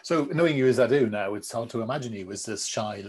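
A man's voice: mid-range voice, quick pace, a little mellow, breathy edge. A man hosting a podcast, asking questions and prompting his guest, often repeating words as he starts a question. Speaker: podcast host. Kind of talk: interviewing.

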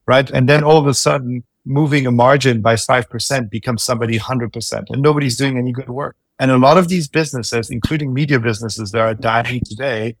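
Non-native English-speaking male co-host, emphatic, mid-pitch, dry recording. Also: steady tone, fairly quick, few pauses, dry recording. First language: not English. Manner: emphatic, swears freely. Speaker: podcast co-host. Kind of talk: casual panel chat.

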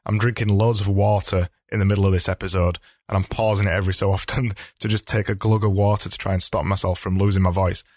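Slightly hoarse, low-voiced male podcast host. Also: little pitch movement, fast talker, hardly any pauses, darkish tone, no echo, clean studio sound. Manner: chatty, explanatory, with frequent restarts. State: slightly hoarse, losing his voice. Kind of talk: solo advice podcast talk.

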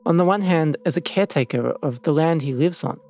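A man hosting and narrating a scripted documentary in a measured, reflective way. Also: on the high side, darkish tone. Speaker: podcast host and narrator.

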